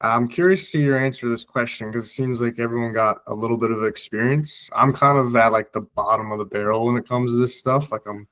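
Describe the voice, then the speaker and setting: A man of twenty from Canada, asking a question on a video call, quick and self-deprecating. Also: mid-range voice, fairly even intonation, fairly bright tone. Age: 20s. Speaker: course student.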